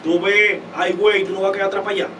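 A man's voice: high voice, racing. A young man, a guest musician, talking boastfully and emphatically in a studio interview. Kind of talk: casual interview talk. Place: indoor studio set. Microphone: studio desk mic. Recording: distant mic with steady noise.

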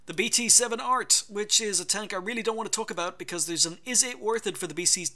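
A man's voice: high-pitched, fast, bright, forceful tone. Irish man rambling through a game review. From Ireland. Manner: rambling, frequent ums and self-corrections. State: recovering from a sore throat. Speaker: gaming channel host. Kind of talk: casual garage review commentary over gameplay.

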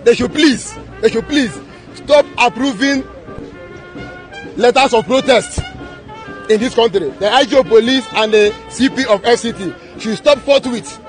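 A man's voice: extremely high pitch, a little slow, faint music behind, some chatter behind. A Nigerian man speaking as a group spokesman outdoors into press microphones, declaiming and repeating his demands. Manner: declamatory, repetitive. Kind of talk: public statement to press. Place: outdoors among a crowd. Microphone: press microphones held up.

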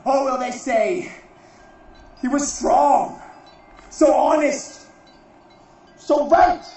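A man performing a theatrical monologue as a proud, defiant warrior, solemn and declamatory, in deliberate phrases. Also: extremely high pitch, dark tone, full character voice. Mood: challenging. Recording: distant, very echoey, muffled recording.

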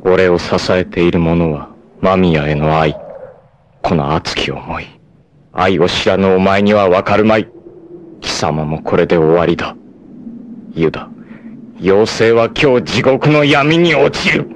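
A man voicing a proud young warrior in an anime, making solemn, defiant declarations in a low, intense voice with sudden high battle shouts. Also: a little slow, pauses often. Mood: fierce. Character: proud long-haired young male warrior, grim and devoted. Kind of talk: 1980s anime dub acting. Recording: noisy old TV soundtrack.

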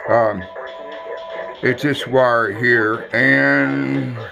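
A man talking casually in a plain, folksy, halting way as he shows something on a handheld camera at home. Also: mid-range voice, steady tone, vocal fry, over music. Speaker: hobbyist radio collector. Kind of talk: casual handheld video demonstration. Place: room in a house. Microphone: handheld phone or camera behind the lens.

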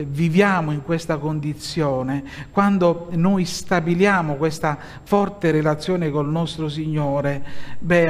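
A middle-aged man from Italy preaching a sermon from a pulpit as pastor, slow and measured with pauses and hesitant fillers. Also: fairly high pitch. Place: church hall, at a pulpit. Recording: long hall reverb.

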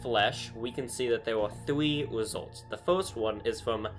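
A man's voice: mid-range voice, unhurried, over music. A young man chatting casually from his bedroom, thinking aloud with lots of fillers. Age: young adult. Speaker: YouTuber analyzing game dialogue. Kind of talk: casual commentary. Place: small bedroom with string lights.

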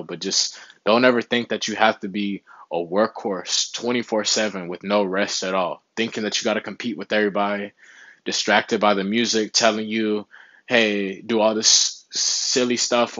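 A man's voice: on the low side, fairly bright tone, no echo, muffled recording. A young man giving casual motivational health advice to camera in a small room, laid-back and often saying "you know what I'm saying". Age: young adult. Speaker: vlogger. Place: small indoor room with window behind.

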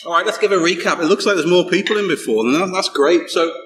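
A man's voice: high voice, fast. A middle-aged man giving a conversational technical talk at a conference, often restarting and repeating words as he goes. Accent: non-local British accent. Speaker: conference speaker. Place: small darkened event room, indoors. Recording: echoey room, faint background hum.

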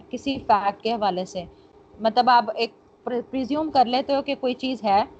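A woman's voice: fairly high pitch, a little mellow. A woman with a Pakistani accent lecturing as a law teacher, reading notes aloud and explaining, mixing Urdu with English terms. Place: online screen-share class. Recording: muffled lo-fi online call, background noise.

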